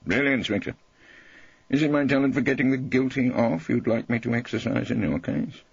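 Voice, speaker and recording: A man's voice: medium pitch, a little fast, vocal fry, breathy edge, no echo, highs cut off, voice pushed up. A man playing an irreverent, witty old male barrister with a wry, florid, mock-courteous manner. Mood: teasing.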